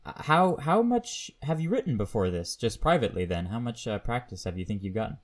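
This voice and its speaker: A man's voice: mid-range voice, big pitch swings, brisk. A Canadian man hosting a podcast, interviewing in a casual, slightly hesitant conversational way.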